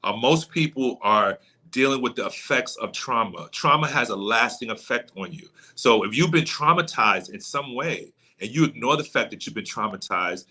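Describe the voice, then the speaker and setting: Man, bright forceful voice, medium pitch, conversational teaching, pastor guest, explanatory, repeats key points. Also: expressive, normal speed, husky. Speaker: guest, pastor and trauma coach.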